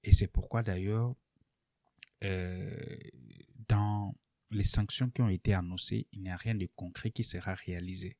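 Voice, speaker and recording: A man presenting political analysis in an unscripted, explanatory way, deliberately and with pauses mid-sentence. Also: sits low, steady tone, dark tone, dry recording, studio quality. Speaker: political commentator presenting an online video.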